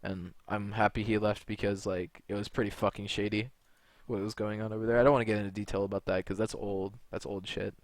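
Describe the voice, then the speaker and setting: A man's voice: fairly low pitch, level tone, a little fast, few pauses, no room sound. A young man from California talking in a rambling, blunt monologue, full of fillers and restarts. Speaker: video creator and gamer. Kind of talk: unscripted monologue to camera.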